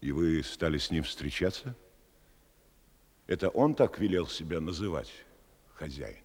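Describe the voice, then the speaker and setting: Male interrogator: low voice, expressive inflection, measured, probing and dryly ironic, dramatic scene. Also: breathy edge, full character voice. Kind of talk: theatrical dramatic acting in Russian. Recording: long reverberant hall echo.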